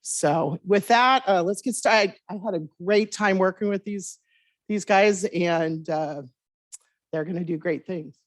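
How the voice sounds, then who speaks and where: A woman's voice: lowish voice, a little slow, no room sound, clean studio sound. A middle-aged woman speaking informally and earnestly to a conference, in a conversational way. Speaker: industry speaker at a college conference.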